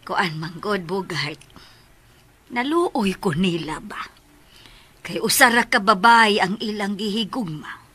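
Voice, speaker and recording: A woman's voice: medium pitch, lively intonation, takes it slowly, pauses often, pushed and bright, husky, heavily acted. A Visayan Filipino woman playing a bossy, motherly household mistress in a comedic radio drama, scolding and bantering with affection. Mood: confiding. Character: bossy, teasing, motherly household mistress who bickers fondly. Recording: noisy radio drama recording.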